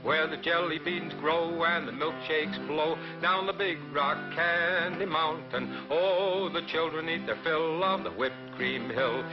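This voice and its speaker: A man's voice: on the high side, measured pace, hardly any pauses. A man singing folk ballads as a folk singer. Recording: dull vintage recording with music. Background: musical accompaniment.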